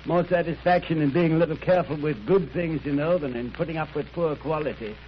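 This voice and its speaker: An actor playing a genial, gentlemanly detective's companion who tells stories conversationally in 1930s radio style. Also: male voice, on the high side, a little fast, lightly acted. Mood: genial, sly. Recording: dull, noisy 1930s radio recording.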